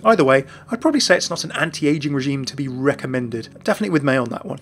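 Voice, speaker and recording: A man's voice: medium pitch, expressive, very fast, fairly bright tone. A man narrating a gaming lore video in a chatty, enthusiastic way. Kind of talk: scripted narration.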